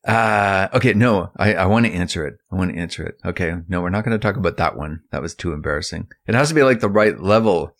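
Middle-aged male co-host, lowish pitch, close dry sound, conversational storytelling. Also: fast talker, hardly any pauses, fairly bright tone, no echo. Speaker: podcast co-host. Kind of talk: casual chat, personal anecdotes.

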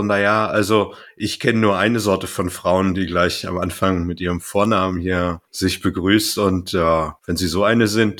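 A man's voice: on the low side, a bit bright. A man hosting a podcast, chatting casually and retelling stories in informal, colloquial language. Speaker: podcast host.

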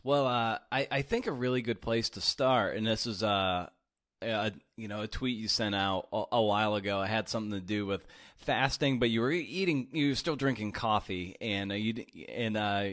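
Deep-voiced chatty podcast host. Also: male voice, a bit bright, dry recording, studio quality. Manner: chatty, with fillers and restarts. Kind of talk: casual interview podcast.

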